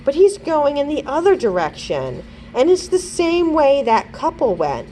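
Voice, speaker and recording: A woman reads aloud as a bold young girl sleuth from a children's mystery. She is urgent and sure, with a lively, swinging pitch. There is faint noise on the recording. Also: a little slow, heavily acted, low-quality recording. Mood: urgent, determined.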